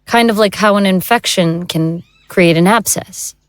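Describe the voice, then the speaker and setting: A woman's voice: mid-range voice, a little slow, no room sound, natural voice. A woman playing a composed, knowledgeable occult investigator in an audio drama. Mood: calm, intrigued.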